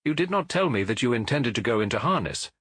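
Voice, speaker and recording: A man's voice: mid-range voice, big pitch swings, quick pace, fairly bright tone, husky, dry recording, studio quality. A man voicing a brilliant, aloof detective in an audiobook, precise and self-assured, with clipped delivery and cool amusement. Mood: coolly amused.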